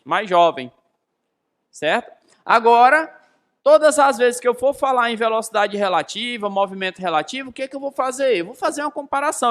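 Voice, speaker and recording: A Brazilian man in his thirties explains like a classroom physics teacher. His voice is high and forceful. He stresses key phrases by repeating them and puts questions to his listeners as he goes. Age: 30s. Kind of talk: explanatory lesson with rhetorical questions.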